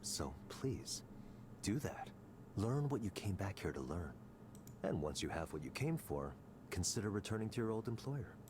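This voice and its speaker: An actor playing a smug, calculating tech executive in a video game, speaking in a smooth, condescending, deliberate way. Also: male voice, lowish voice, very animated, background noise. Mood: persuasive, calculating. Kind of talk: scripted visual novel dialogue.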